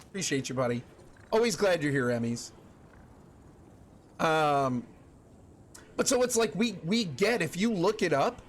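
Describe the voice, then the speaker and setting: Young man, streamer, casual chat, rambling restarts, a bit high-pitched. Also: a little hiss or hum. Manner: chatty, thinks aloud with many restarts and fillers.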